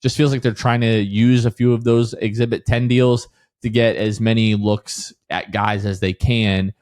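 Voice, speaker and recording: Low-pitched man hosting a sports podcast. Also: level tone, moderate pace, a little mellow, no echo, clean studio sound. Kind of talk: casual sports podcast chat.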